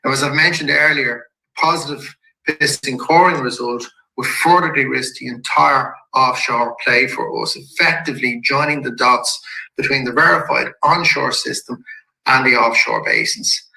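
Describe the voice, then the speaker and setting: A man with a slightly croaky voice from a head cold, a company executive calmly walking shareholders through an investor presentation slide by slide. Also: mid-range voice. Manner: measured and businesslike, with occasional restarts. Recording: echoey room.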